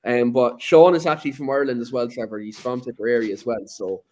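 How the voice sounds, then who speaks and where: A man with a mid-range voice hosts a football podcast. He reads viewer comments aloud in a relaxed, easygoing way. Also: mid-range voice, fast. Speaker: podcast host reading comments. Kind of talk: casual football podcast chat.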